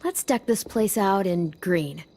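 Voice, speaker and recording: A woman voicing a steady, guarded but caring teenage girl leader in a video game, calm and gently firm. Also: medium pitch, measured pace. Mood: calm, wry.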